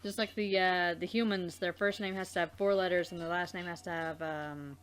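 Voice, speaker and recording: A woman's voice: lowish voice, steady tone, brisk, clear, resonant tone. A woman co-hosting a let's play, commenting casually and reacting with blunt disbelief.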